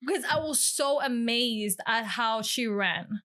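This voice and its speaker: A woman's voice: on the high side, unhurried, sharp tone. A woman in her twenties, a podcast guest, telling stories in casual chat with many fillers. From grew up in Hialeah, Florida. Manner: chatty storyteller with many fillers.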